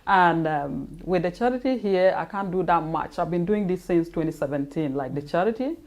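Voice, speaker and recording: A woman's voice: on the low side, lively intonation, normal speed, darkish tone. A woman from northern Uganda, answering interview questions about her charity in a plain, earnest way. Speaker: guest, charity founder.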